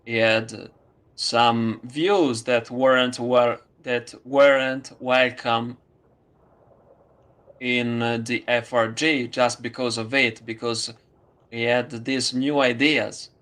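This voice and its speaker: A man with an Italian accent, speaking English as a second language in a casual conversation, halting with many fillers. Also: medium pitch, flat delivery, a bit bright. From Italy. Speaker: student.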